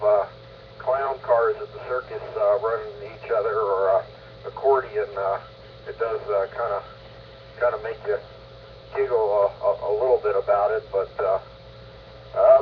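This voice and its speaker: A man chatting casually as an amateur radio operator, with a halting, hesitant delivery, over a two-way radio. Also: fairly low pitch. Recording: scratchy, muffled ham radio with static hum.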